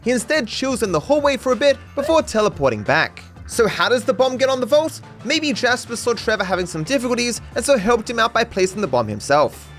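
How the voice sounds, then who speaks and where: High-pitched, lively Australian man narrating. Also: a little fast, hardly any pauses, faint music behind. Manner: dry, mock-serious sarcasm. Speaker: video creator and narrator. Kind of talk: scripted comedic video essay narration.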